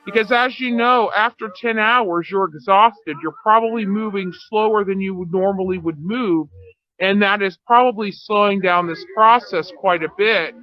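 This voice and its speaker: A woman's voice: medium pitch, normal speed, highs cut off. A woman giving a rambling, unscripted true crime commentary in a self-assured, boastful, argumentative way.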